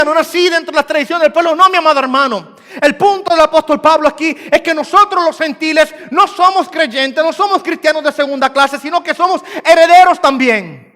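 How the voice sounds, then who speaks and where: Puerto Rican male pastor, high-pitched, emphatic repeating delivery, long church reverb. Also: pushed and bright. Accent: Puerto Rican Spanish. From Puerto Rico. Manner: repeats phrases for emphasis, rising and falling in force. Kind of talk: sermon. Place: church sanctuary.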